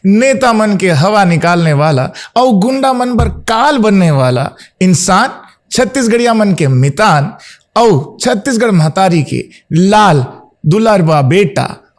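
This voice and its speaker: Effusive podcast host, fairly high voice. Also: male voice. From Rajasthan, India. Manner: effusive, flattering introductions. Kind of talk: podcast interview.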